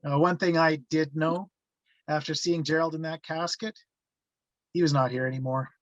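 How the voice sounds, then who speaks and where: Man playing haunted narrator, highish pitch, reflective, halting, dry close sound, slightly dull audio. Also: fairly even intonation, no echo, natural voice. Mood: reflective, regretful. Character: haunted grown man recalling his youth. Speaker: actor reading the narrator at a table read. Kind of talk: reflective first-person scripted narration.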